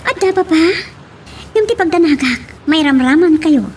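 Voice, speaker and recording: A woman's voice: high voice, animated, moderate pace, slight background noise. An actress with an Ilocano accent playing an earnest, insistent young policewoman in a radio drama. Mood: accusing, insistent.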